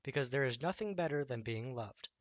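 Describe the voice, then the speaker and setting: Man as a gentle, wise old toy horse. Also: medium pitch, lively intonation, a little fast, no echo, dull audio, lightly acted.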